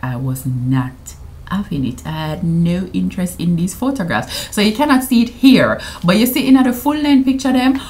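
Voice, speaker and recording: Jamaican woman, 30s, vlogger; playful, chatty to camera, patois mixed in, slightly low voice. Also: animated, normal speed, darkish tone. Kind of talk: casual anniversary vlog to camera.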